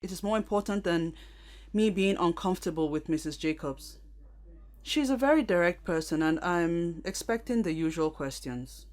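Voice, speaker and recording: A woman playing a composed, supportive businesswoman in an audio drama, measured, polite and matter-of-fact. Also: fairly low pitch, darkish tone, natural voice.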